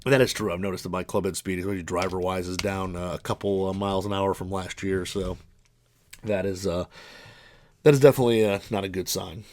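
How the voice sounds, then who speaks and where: A man's voice: low-pitched, steady tone, a little fast, darkish tone. A man in his early forties hosting a podcast, talking casually and rambling through his equipment with many fillers and restarts. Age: 40s. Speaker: podcast host reviewing golf clubs. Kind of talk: casual monologue.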